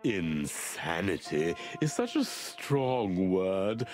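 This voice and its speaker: An actor playing an unsettling, philosophical snake doctor in a noir game, speaking in a measured, cryptic way. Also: male voice, sits low, very animated, over music, full character voice. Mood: calm, philosophical. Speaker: asylum doctor character.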